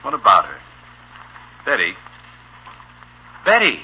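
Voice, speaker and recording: Sharp, husky exasperated sitcom dad. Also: male voice, fairly low pitch, wildly swooping pitch, slow pace, full character voice, poor audio. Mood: irritated, grumbling. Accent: American. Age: middle-aged. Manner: gruff, impatient, dryly sardonic. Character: exasperated, no-nonsense family father at the dinner table. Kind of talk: 1950s radio sitcom acting. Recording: old radio broadcast, slightly harsh.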